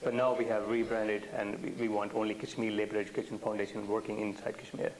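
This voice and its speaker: A man chairing a meeting, speaking informally in halting phrases full of fillers. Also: on the low side, flat delivery, darkish tone. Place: indoor meeting. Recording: hissy, slightly noisy meeting recording.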